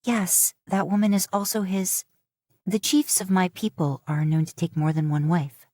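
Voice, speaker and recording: A woman's voice: lowish voice, steady tone, normal speed, darkish tone, dry recording, clean studio sound, close to an everyday voice. A woman voicing a young wife who speaks in a clipped, wary way, her voice slightly raised. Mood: curt, wary. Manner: clipped, pointed questions.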